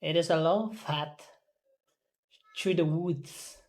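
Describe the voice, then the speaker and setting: A woman's voice: low voice, slow, darkish tone. A woman carefully repeating words and reading practice sentences as a pronunciation student. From Philippines. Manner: careful, word-by-word repetition.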